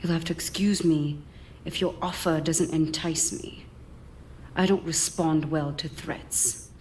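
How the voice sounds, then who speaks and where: A woman's voice: low-pitched, fairly even intonation, measured pace, darkish tone, a little hiss or hum. An actress playing a scornful, defiant woman warrior in a video game, with a cool, sardonic manner. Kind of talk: cinematic video game acting.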